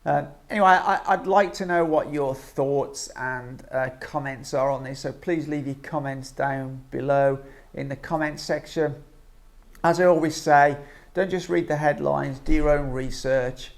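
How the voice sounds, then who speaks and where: A man giving informal opinion commentary as an online vlogger, speaking in a halting, hesitant way with frequent ums. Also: on the high side, fairly even intonation, creaky.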